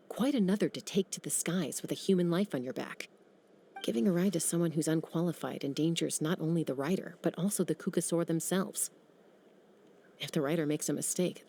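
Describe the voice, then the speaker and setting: A woman voicing a young female flying-squad rider who talks calmly and reassuringly in a video game. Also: deep voice, a little fast, gravelly, slight background noise. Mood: explaining. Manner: calm, reassuring.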